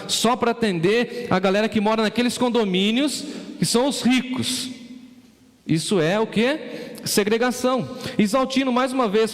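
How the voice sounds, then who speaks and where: Brazilian pastor with a caipira accent. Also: male voice, high-pitched. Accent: São Paulo countryside caipira. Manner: conversational preaching, addresses congregation members by name. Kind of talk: sermon. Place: church sanctuary. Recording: church hall reverb.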